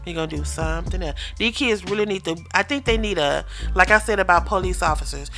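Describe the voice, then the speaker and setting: Bright-voiced East Texas woman. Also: fairly low pitch, fast talker, soft background music. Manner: animated, acts out voices when retelling. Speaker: podcast co-host. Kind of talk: casual chat.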